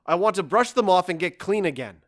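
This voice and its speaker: A man's voice: high-pitched, animated, fairly quick, a bit bright. Man acting stoic, embittered, weary husband. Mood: bitter, disgusted. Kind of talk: dramatic audio drama acting.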